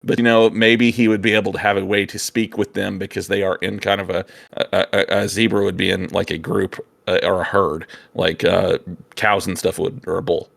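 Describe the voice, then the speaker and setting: Man, lowish voice, heavy creak, hesitant, casual chat, thinking aloud. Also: level tone, moderate pace, a bit bright, no room sound. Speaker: guest co-host.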